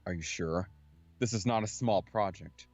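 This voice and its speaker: Man voicing a low, gloomy, stern school administrator. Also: darkish tone, dry recording. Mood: matter-of-fact. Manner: measured, formal, matter-of-fact. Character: gloomy, stern middle-aged male assistant principal. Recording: dull audio with music underneath.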